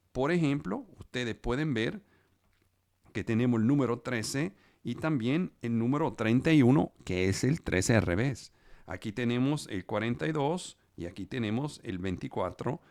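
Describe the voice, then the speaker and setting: Gravelly man preaching in learned Spanish. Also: medium pitch, a little mellow, no room sound, studio quality. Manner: speaks Spanish as a second language, pausing with fillers; explains scripture step by step. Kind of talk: live online Bible teaching.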